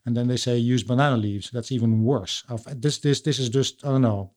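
A man with a Dutch accent hosts a relaxed podcast conversation. He talks bluntly and skeptically and pauses often with "uh", at a middle pitch. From Netherlands. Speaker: podcast host. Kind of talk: casual chat.

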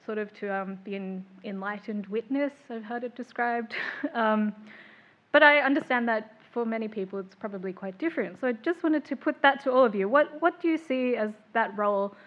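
A woman's voice: a little high, normal speed, lo-fi sound. A woman chairing a literary panel, introducing writers with frequent ums and small laughs.

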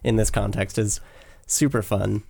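A man's voice: lowish voice, unhurried, vocal fry. A young man hosting a casual music podcast, his voice scratchy from a cold, chatting loosely with lots of fillers. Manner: relaxed, filler-heavy, says "like" often. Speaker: podcast host and editor. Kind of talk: casual music-recommendation chat.

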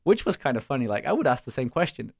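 A young man, answering interview questions casually and pausing to search for words. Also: medium pitch, very animated, vocal fry, dry recording, studio quality. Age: young adult. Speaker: startup co-founder and student.

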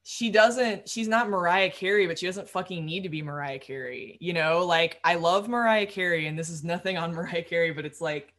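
A young woman musician with a low voice, talking casually in an interview, rambling with lots of fillers and quick laughs. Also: a bit bright. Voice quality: low-register voice. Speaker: musician guest.

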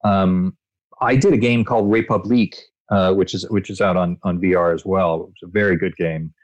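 A male voice actor being interviewed, telling expansive, animated anecdotes with frequent restarts. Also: sits low, normal speed, no room sound, lo-fi sound. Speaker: voice actor guest. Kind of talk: interview answers, storytelling.

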